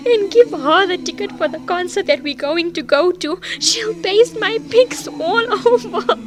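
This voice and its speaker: A woman's voice: very high voice, lively intonation, a little slow. A woman playing a distressed, dramatic teenage girl in an audio drama. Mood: tearful, panicked. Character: distressed teenage girl being blackmailed over private photos.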